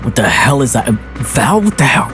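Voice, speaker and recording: A man's voice: mid-range voice, sing-song, normal speed, over music. A man chatting casually as a player in a tabletop role-playing game.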